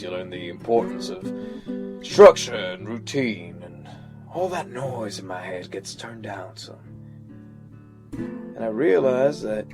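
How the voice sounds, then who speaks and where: An actor playing an earnest, plain-spoken army veteran, telling his story in a reflective audio drama monologue with halting pauses over music. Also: medium pitch. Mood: earnest, proud. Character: weathered American army veteran, once a hot-tempered youth, earnest.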